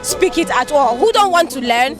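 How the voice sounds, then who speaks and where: A woman hosting a street interview outdoors, upbeat and quick to thank her guests. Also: high-pitched, animated, over music. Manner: upbeat, repeats thanks often. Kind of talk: street vox-pop interview. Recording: street crowd noise behind.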